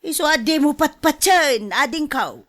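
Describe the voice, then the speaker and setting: Slow-paced scolding older woman played by an actress. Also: sits high, expressive, fairly bright tone. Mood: warning, scolding. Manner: admonishing, emphatic, gossipy. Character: nosy, scolding older sister and mother with pension. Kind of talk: radio drama acting.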